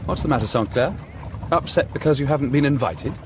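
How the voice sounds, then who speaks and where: An actor playing a brash, cheeky French newspaper journalist in a period radio drama, with a blunt, theatrical, teasing manner. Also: male voice, mid-range voice, fairly quick, noisy background, close to an everyday voice.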